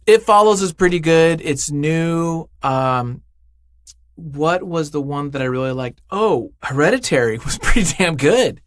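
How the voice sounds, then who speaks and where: A man's voice: on the high side, lively intonation, measured pace, no room sound, studio quality. A man speaking as an interview guest in casual, unscripted chat, effusive and emphatic, often thinking aloud.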